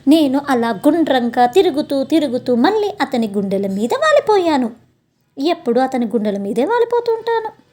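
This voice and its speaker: A woman's voice: high voice, normal speed. A woman telling a romantic story in the first person, expressively and speaking straight to listeners. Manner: expressive, addresses listeners directly, acts out dialogue. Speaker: storyteller, channel host.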